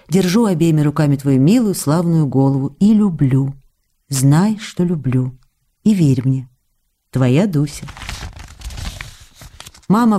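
Tender, excitable young newlywed, low-voiced. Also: female voice. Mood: tender, longing. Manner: affectionate, animated storytelling. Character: young newlywed actress, tender, excitable, longing for her husband. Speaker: actor reading letters in a radio drama. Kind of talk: dramatic reading of intimate letters.

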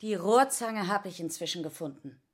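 A woman's voice: mid-range voice, normal speed, slight background noise, close to an everyday voice. An actress playing a harried, apologetic housewife and mother in a radio play, chatty and flustered.

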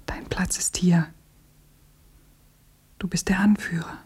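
A woman acting a composed, grave revolutionary in a radio play, hushed and measured, with a breathy, whispery voice. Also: lowish voice, fairly even intonation, exaggerated voice. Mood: hushed, earnest.

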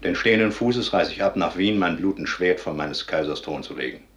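Cold deep-voiced soldier assassin. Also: male voice, animated, fairly quick, noisy background. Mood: defiant, proud. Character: cold, unrepentant soldier and assassin, proud of his deed. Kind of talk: 1950s German radio drama, classical stage declamation. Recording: dull vintage 1950s radio recording.

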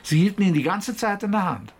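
An actress playing an apologetic, reassuring hospital worker in a naturalistic German radio drama. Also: female voice, mid-range voice, very animated, fairly quick, breathy edge.

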